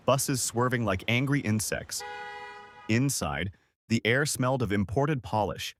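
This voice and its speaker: A man's voice: mid-range voice, a bit bright, no room sound. A man narrating a scripted dramatic story as a storyteller.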